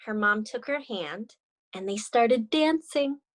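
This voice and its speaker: A woman's voice: on the high side, expressive, takes it slowly. A young woman teaching and reading aloud to children over a video call. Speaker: teacher and story reader. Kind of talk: children's read-aloud and lesson. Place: indoor room on a video call.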